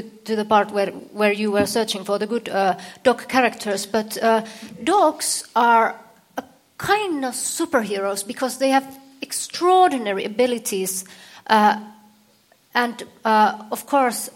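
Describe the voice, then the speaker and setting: A woman from Finland, moderating a convention panel and pausing often to search for words. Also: on the high side. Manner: hesitant, many fillers and restarts.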